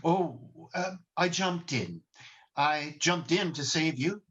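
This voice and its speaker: A man playing a gentle, earnest, bumbling guardian angel with a hesitant, genteel manner in 1940s radio drama style, recorded over a video call. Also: on the high side, expressive, slow, slightly rough, exaggerated voice. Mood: flustered, earnest. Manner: hesitant, old-fashioned, genteel. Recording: dull, muffled video-call audio.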